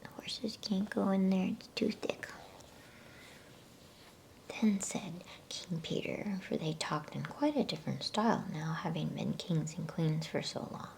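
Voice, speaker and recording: A woman reading a storybook in a soft, breathy ASMR whisper, with a soothing, unhurried manner and casual delivery. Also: on the low side, expressive. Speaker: ASMR bedtime story reader.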